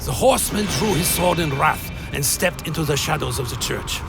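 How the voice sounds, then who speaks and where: An actor playing a tormented vampire who narrates a gothic horror radio play in a grave, literary manner. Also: male voice, a little high, animated, normal speed, creaky, over loud music. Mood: grave, haunted. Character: tormented male vampire recounting his dark journey. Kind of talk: dramatic first-person gothic narration.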